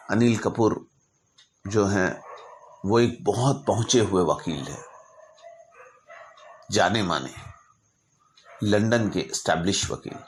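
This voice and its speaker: Guy with a really low voice telling a story, short phrases, lots of pauses. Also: slight background noise. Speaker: astrologer telling client stories. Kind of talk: informal narrated storytelling.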